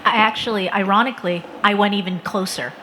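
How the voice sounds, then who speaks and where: A woman's voice: mid-range voice, fairly even intonation, fast talker, bright, forceful tone, slight background noise. An author from Connecticut, a woman, talking earnestly and with emphasis about her research at a conference panel. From Connecticut, USA. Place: conference venue.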